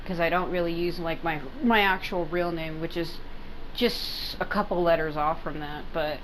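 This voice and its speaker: A woman's voice: lowish voice, steady tone, moderate pace, rough recording. A woman giving casual, rambling gameplay commentary, often repeating herself for emphasis. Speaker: gaming video host. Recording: faint background noise and game ambience.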